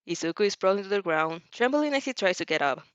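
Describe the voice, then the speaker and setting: A woman's voice: medium pitch, expressive, quick pace, no room sound. A woman narrating fan fiction expressively and dramatically, sometimes stumbling over and repeating words. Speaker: fan fiction reader and dubber. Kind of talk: expressive audio fanfiction narration with character voices.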